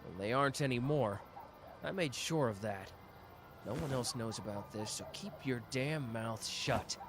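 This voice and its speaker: A man voicing a brash, hot-tempered young man who swears and flares up fast in an audio drama. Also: mid-range voice, expressive, noisy background. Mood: gruff. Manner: gruff, swearing, quick to flare up. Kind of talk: ASMR roleplay audio drama.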